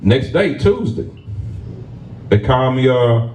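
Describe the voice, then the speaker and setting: A man, a stage actor, tells a lively story to a live audience with laughs, then closes with motivational encouragement. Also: medium pitch, slow. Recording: roomy sound from a distant mic.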